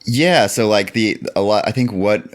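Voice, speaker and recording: Guy with a really low voice on a podcast, musing casually, lots of likes and you knows. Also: normal speed. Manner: rambling and philosophical, peppered with "like" and "you know". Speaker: podcast guest.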